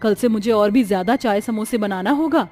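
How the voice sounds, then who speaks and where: A woman's voice: mid-range voice, moderate pace, a little mellow, close to an everyday voice. A woman voicing a hardworking young daughter-in-law in a moral story, hawking tea and samosas in sing-song vendor calls. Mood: earnest.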